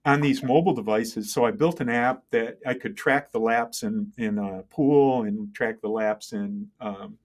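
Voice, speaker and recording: A middle-aged man speaking as an interview guest, conversational and halting, thinking aloud and often checking in with the listener. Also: mid-range voice. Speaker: interview guest, tech entrepreneur and engineer. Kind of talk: conversational interview, telling career story.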